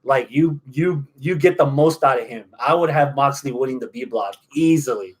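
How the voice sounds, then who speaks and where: A man's voice: medium pitch, normal speed, rough recording. A man with an American accent hosting a wrestling podcast, giving opinionated, profane analysis in a casual chat.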